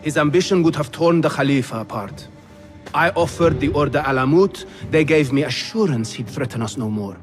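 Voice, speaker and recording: A man's voice: on the high side, expressive, fairly quick, close to an everyday voice. Man as a proud governor with swinging intonation. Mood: dry, self-justifying. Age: middle-aged. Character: shrewd, proud middle-aged male governor, worldly and defiant. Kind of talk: cinematic video game acting. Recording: music behind the voice. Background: music.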